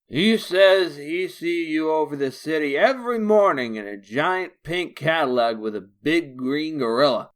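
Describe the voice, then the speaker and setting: A man's voice: a little high, a bit bright. A man playing a chatty, streetwise street bum who rambles in folksy slang in a comic dub.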